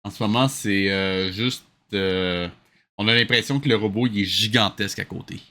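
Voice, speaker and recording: A man's voice: on the low side, moderate pace, bright, forceful tone. A man with a Quebec French accent giving casual, sarcastic commentary in an exasperated way. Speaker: host of a reaction show. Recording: faint background noise.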